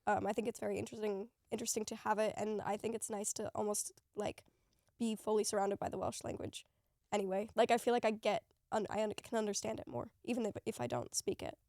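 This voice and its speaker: Creaky-voiced young woman hosting a podcast. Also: mid-range voice, fast, a little mellow, dry recording, studio quality. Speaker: podcast host. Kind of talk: casual chat.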